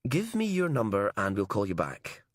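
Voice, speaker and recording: A man's voice: fairly low pitch, huge pitch swings, moderate pace, no room sound, studio quality. A man doing a curt night-shift woman. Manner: curt, businesslike. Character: brisk woman on a prison phone line.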